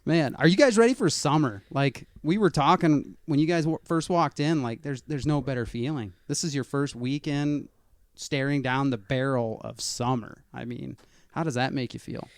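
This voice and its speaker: Man, podcast host, mid pitch, energetic showman delivery, dry close-mic studio. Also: moderate pace, dry recording. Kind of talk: casual podcast hosting. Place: small studio.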